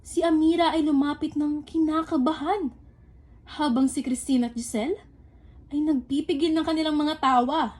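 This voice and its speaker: A woman's voice: high voice, steady tone, moderate pace, a little mellow, natural voice. A woman reading scripted narration as the storyteller of an amateur school radio drama. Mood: narrating.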